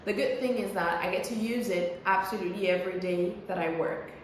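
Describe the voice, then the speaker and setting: Female pronunciation coach, medium pitch, didactic and conversational with careful enunciation, heavily reverberant room, slight background noise. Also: a little fast. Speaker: English pronunciation coach and air traffic controller. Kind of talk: explanatory pronunciation lesson, conversational. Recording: very echoey room with faint noise.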